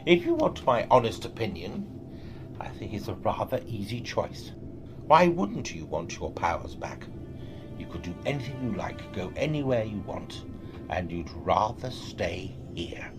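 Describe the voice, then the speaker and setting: An actor playing a sly, cajoling companion in an audio drama, theatrical and swinging between hushed and emphatic delivery. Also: male voice, lowish voice, very animated, measured pace, music in the background.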